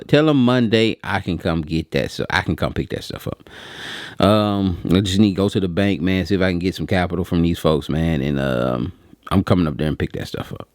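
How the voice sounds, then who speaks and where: A man's voice: low voice, a little mellow, dry recording, studio quality. A man talking casually and slangily to his viewers, telling a rambling story and acting out bits of dialogue. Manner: slangy, conversational, acts out quoted dialogue. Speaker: print shop owner telling a story to viewers.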